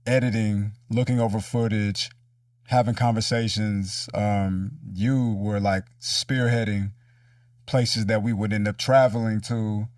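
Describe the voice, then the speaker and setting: Man, low pitch, informal interview host, reflective, slightly distant mic, dry room. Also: fairly even intonation, measured pace, a little mellow, no room sound. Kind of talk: casual conversational interview.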